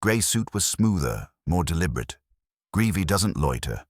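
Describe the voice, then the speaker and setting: A man's voice: low voice, big pitch swings, moderate pace, dry recording, clean studio sound. A man voicing a terse, observant private investigator in a mystery audiobook, clipped and factual. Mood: assessing.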